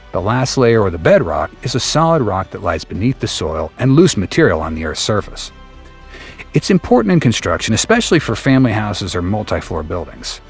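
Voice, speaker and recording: A man reading a scripted documentary narration in a steady, explanatory way over a close voice-over mic. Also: lowish voice. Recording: faint music bed behind the voice.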